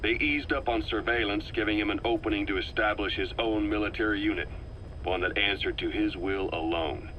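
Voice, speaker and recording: A male actor playing a grave, calculating military intelligence man, delivering a deliberate, ominous briefing as a video game audio log. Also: fairly low pitch, highs cut off, close to an everyday voice. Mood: ominous, brooding. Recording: faint noise, cassette tape briefing.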